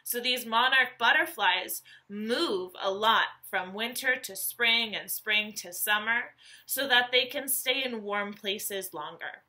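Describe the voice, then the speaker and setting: A woman, reading a nonfiction book aloud to young children as a teacher, animated and carefully enunciated. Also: fairly high pitch, slow. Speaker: elementary school teacher.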